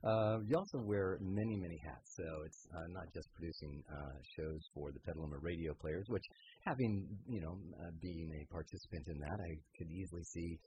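A man with a low voice hosts a casual interview show. He is effusive and quick to agree with his guests. There is clear noise behind him on the recording. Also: warm tone, low-quality recording. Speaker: radio and podcast host.

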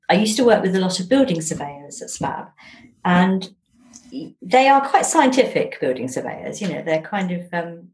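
A woman chatting casually as an interview guest, telling anecdotes in an animated, whimsical way. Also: lowish voice, echoey room. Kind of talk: jolly casual chat and anecdotes.

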